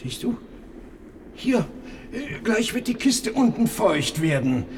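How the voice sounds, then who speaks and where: A man's voice: high-pitched, very animated, slow pace, noisy background, exaggerated voice. An actor playing a bitter, stern yet resigned father and husband in a radio play, formal and ponderous. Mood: helpless.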